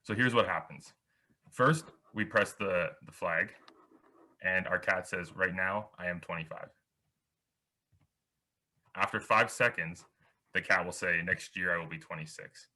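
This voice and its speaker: A man's voice: low voice, measured pace, pauses often, bright, forceful tone. A young man giving a beginner programming workshop over slides, explaining step by step with small restarts. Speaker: workshop instructor.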